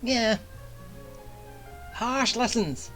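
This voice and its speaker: Slow-talking, jokey game streamer. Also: mid-range voice, animated, fairly bright tone, soft background music, rough recording. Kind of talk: casual play-along commentary.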